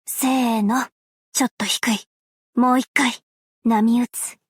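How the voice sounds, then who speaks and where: An actress playing a gentle, self-effacing young woman in a video game, soft and whispery, with a hushed, measured, wistful delivery. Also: on the high side, fairly even intonation, breathy edge, no echo, studio quality. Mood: composed, soft. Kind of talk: game character voice lines.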